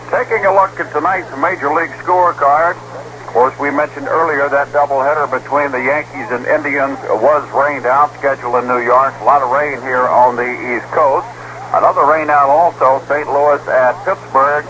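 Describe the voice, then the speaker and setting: A man's voice: medium pitch, noisy background. An American man delivering sports scores and baseball commentary on a 1970s radio broadcast.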